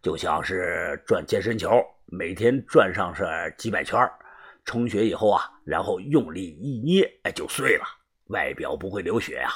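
A man voicing a coarse, menacing gang boss who talks low and gruff. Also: animated, moderate pace, a bit bright, heavily acted.

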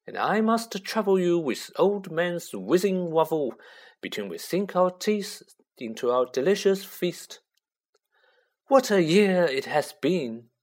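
A reader plays a whimsical old storybook headmaster. The old man is cheerful and addresses a gathering in a lifted, lively voice. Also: medium pitch, takes it slowly, voice pushed up. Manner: cheerful, speech-making.

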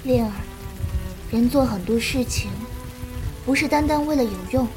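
A woman playing a gentle, compassionate young martial-arts disciple who explains patiently in a Chinese period audio drama. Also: fairly high pitch, normal speed, over music, natural voice. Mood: gentle, consoling.

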